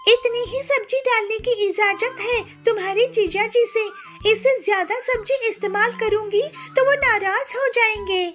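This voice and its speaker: A woman voicing an adult female cartoon bird, a patient sister and wife who speaks in a squeaky, sweet, coaxing voice. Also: normal speed, a bit bright. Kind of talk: cartoon voice acting.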